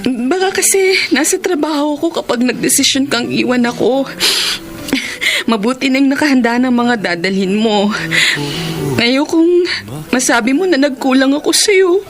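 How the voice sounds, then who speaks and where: Sad devoted girlfriend in a radio drama, high tender plaintive voice, music playing under it. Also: expressive, moderate pace. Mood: hurt, hesitant. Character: loving, self-sacrificing, heartbroken live-in girlfriend named Alice. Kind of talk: sentimental radio drama acting.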